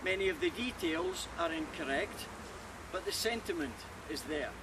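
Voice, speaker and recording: A man giving a formal, measured address at an outdoor memorial ceremony. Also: high-pitched, big pitch swings. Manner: slow, measured and solemn. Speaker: ceremony speaker. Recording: distant mic, loud outdoor noise. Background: outdoor ambience.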